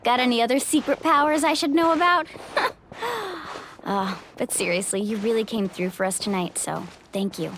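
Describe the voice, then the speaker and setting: High-voiced, commanding young female leader. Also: normal speed, a bit bright, a little hiss or hum. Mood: grateful. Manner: earnest, decisive. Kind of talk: anime game voice acting.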